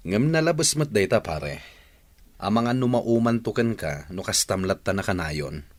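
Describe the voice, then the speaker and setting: A man's voice: lowish voice, animated, moderate pace, lightly acted. A man with a Filipino accent playing a sensible young friend, frankly advising and admonishing in a radio drama.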